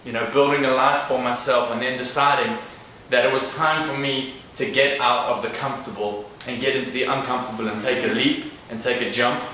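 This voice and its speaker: A man's voice: medium pitch, fairly even intonation, a little fast, few pauses, pushed and bright. Earnest South African man in an echoey hall. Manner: earnest, direct, addresses the crowd. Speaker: guest speaker. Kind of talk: motivational talk to a live audience. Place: large echoey event room. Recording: echoey room, distant mic, faint noise.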